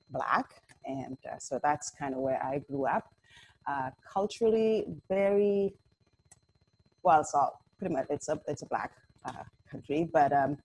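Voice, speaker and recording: A woman from Trinidad and Tobago, a pastor and podcast guest, talking casually in a friendly, relaxed way. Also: deep voice, a little hiss or hum, rough recording. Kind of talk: casual chat.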